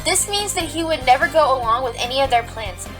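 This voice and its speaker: A woman's voice: high voice, expressive, over music. A woman acting a determined young rabbit police officer, arguing assertively in a courtroom audio drama. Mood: insistent. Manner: assertive, deliberate, argumentative.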